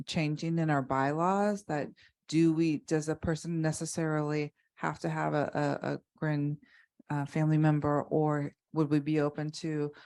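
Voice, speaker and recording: A woman with a low-pitched voice gives an unscripted panel answer. She speaks haltingly, often repeating a word before moving on, as a board member explaining things would. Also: a little mellow.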